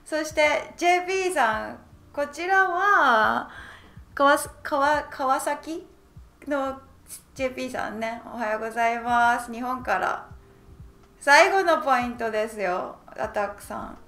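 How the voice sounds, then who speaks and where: A woman's voice: sits high, fairly quick, bright, forceful tone, echoey room. A bubbly woman from Japan with a Japanese accent, a native Japanese speaker teaching a language lesson on a livestream and chatting with viewers. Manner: bubbly, giggly, switches between languages. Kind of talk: live-streamed lesson with chat greetings.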